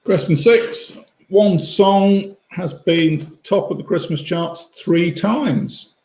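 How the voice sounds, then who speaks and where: A man's voice: sits high, takes it slowly, a little mellow. A man co-hosting a casual online quiz, reading questions and chiming in with dry asides. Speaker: quiz co-host. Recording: echoey room.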